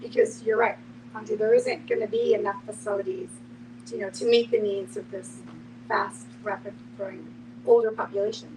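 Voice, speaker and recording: A woman leads a discussion as moderator, speaking at a middling pitch. She sits a bit away from the microphone, and noise is clearly audible around her voice. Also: flat delivery, normal speed. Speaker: moderator, nonprofit co-founder. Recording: distant mic with clear background noise.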